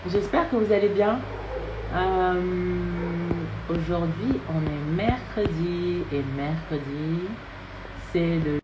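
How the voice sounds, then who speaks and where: A woman hosts a live-stream interview. A cold has left her stuffed up and nasal. Her speech is slow, unhurried and full of hesitations, in a slightly low voice, over dull lo-fi stream audio. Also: background noise. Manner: relaxed, chatty, hesitant with many fillers. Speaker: interview host.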